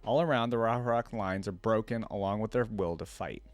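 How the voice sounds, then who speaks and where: A man's voice: lowish voice, fairly quick, no echo. A man hosting a reaction video, reading a story aloud and adding casual, musing asides.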